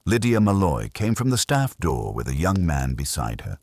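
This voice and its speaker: A man narrating first-person detective fiction in a measured, dry, wry storytelling manner. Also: sits low, big pitch swings, a little mellow, no room sound. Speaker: audiobook narrator.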